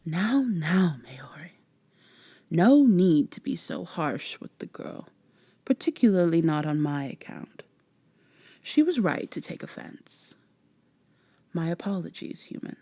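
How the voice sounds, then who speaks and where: Haughty, teasing vampire woman acted by a woman, ASMR drama; lowish, close and dry, measured formal speech. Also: big pitch swings, mellow, breathy edge, dry recording, heavily acted. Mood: amused, condescending. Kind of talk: soft-spoken ASMR roleplay acting.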